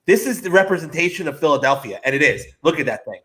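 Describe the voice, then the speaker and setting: A man's voice: fairly high pitch, lively intonation, fast talker, sharp tone, rough recording. A man giving joking opinions in casual sports banter as a panelist on a talk show.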